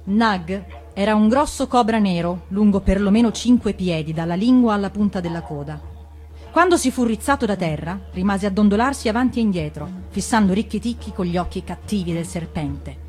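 A woman reading a fable for radio in an expressive, deliberate, dramatic storytelling manner. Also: medium pitch, faint music behind. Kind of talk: expressive scripted storybook narration.

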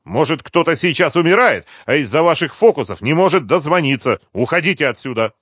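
A man's voice: a little high, lively intonation, a little fast, a bit bright, slightly rough, no room sound, clean studio sound, pitched above the performer's own voice. A man voicing a cold, curt, unyielding woman doctor in an audiobook. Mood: cold dismissal. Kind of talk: audiobook character dialogue.

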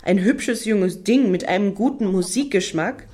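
A woman's voice: mid-range voice, a little slow, background noise, close to an everyday voice. An actress playing a wry, mocking woman in a satirical radio play, with a dry delivery. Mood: scornful.

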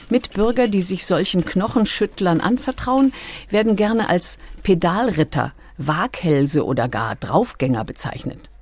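A woman reading scripted documentary narration in a composed, clearly articulated manner. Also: lowish voice, a little mellow, no room sound. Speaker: audiobook narrator.

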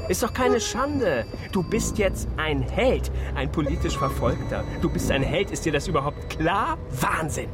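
An actor playing a chatty, elated East driver in a radio drama, speaking colloquially like an instant buddy. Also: male voice, a little high, lively intonation, a little slow, hardly any pauses, over loud music. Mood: insistent, excited.

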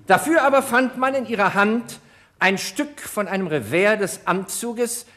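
An actor playing a haughty, sarcastic public prosecutor in a radio crime play, speaking with biting irony and pointed rhetorical pauses. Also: male voice, high-pitched, bright, forceful tone. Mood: forceful, condemning. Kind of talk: German radio crime play acting, courtroom oratory.

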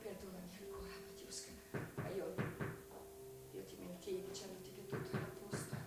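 A young woman playing a devoted, anguished sister reciting a confessional letter in a halting, theatrical radio drama style. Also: on the low side, monotone, very noisy. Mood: anguished, tender. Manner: halting, repeats words, breathy emotional outbursts. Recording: echoey, distant, music behind.

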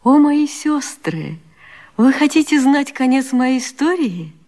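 Slow, ornate fairytale storyteller woman. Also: sits high, sing-song, darkish tone, exaggerated voice. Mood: grand storytelling. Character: solemn Eastern storyteller wife from Arabian tales. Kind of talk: radio vaudeville acting.

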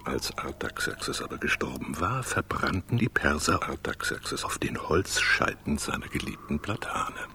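Ein Schauspieler spielt in einem literarischen Hörspiel einen ernsten, feierlichen Baum, der gemessen und hauchig erzählt. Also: male voice, very deep voice, very animated, moderate pace, few pauses, bright, forceful tone, whispered, heavily acted. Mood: solemn. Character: solemn male talking tree of the sun, grave storyteller. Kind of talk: literary radio drama acting. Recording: studio radio play with music underneath.

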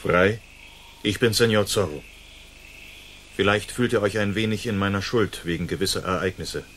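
An actor playing a bold, gallant masked outlaw in a German radio play, speaking in a commanding, courtly way. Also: male voice, fairly low pitch, normal speed, fairly bright tone, a little hiss or hum, lightly acted. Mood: earnest, courteous.

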